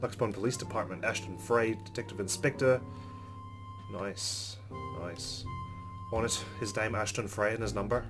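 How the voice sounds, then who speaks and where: Casting: male let's play host, fairly low voice, mixes narration reading with casual remarks over music. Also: fairly even intonation, moderate pace. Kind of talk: reading game narration aloud with casual commentary.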